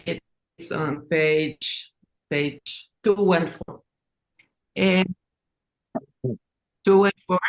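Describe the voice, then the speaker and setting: A woman, not an English speaker, presenting her own work in a halting, informal way, repeating words. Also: on the low side, fairly even intonation, rough recording. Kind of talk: informal artist talk and reading.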